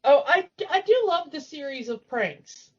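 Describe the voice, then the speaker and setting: A woman with a fairly high voice chats casually as a podcast co-host. She is talkative and giggly. The audio is a little dull and lo-fi. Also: lively intonation, a little slow.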